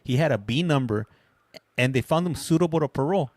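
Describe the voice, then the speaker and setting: A man's voice: medium pitch, expressive, a little fast, darkish tone, no echo. Easygoing male host, dry close sound. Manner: easygoing, stumbles and restarts. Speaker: show host. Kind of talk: casual call-in show hosting.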